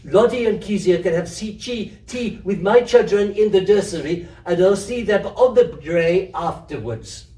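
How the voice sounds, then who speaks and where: A man's voice: high voice, a little slow, few pauses, warm tone, reverberant, recorded from a distance, voice pushed up. A man voicing a fat, motherly, soothing neighbour woman with a wheezy manner in a storybook reading.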